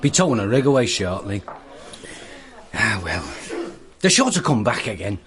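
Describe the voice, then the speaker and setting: An actor playing a hapless, chatty, deferential sidekick in a radio drama, rambling and jokey. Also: male voice, on the low side, very animated, normal speed, crowd noise. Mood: musing, nosy.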